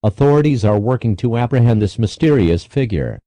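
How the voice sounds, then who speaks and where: A man's voice: mid-range voice, expressive, brisk, mellow, no room sound. A man reading scripted emergency broadcast announcements in a formal, impersonal, synthetic-sounding manner.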